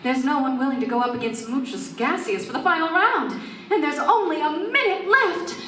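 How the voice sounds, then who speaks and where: A woman's voice: sits high, expressive, moderate pace, a bit bright, slight background noise. A woman playing a sweet, bubbly young sidekick in a comic radio play, recorded in a roomy hall. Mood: bubbly excitement.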